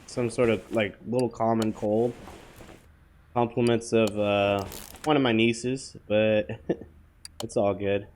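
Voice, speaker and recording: Hoarse man chatting over gameplay. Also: fairly low pitch, fairly even intonation, slow pace, long pauses, a little mellow, a little hiss or hum. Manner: rambling, offhand, full of fillers. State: hoarse from a cold. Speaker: gaming channel host. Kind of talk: casual gameplay commentary.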